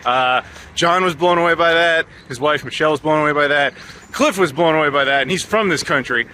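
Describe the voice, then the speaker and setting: A man from North America hosting a casual travel vlog, chatty and jokey, talking excitedly about food and games. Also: on the high side, a little fast, slight background noise. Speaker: vlog host.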